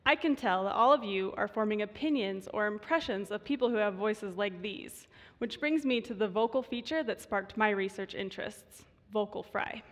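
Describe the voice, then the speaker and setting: A woman in her twenties with vocal fry, a student voice researcher giving a prepared stage talk in measured tones. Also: mid-range voice, a bit bright. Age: 20s. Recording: reverberant hall.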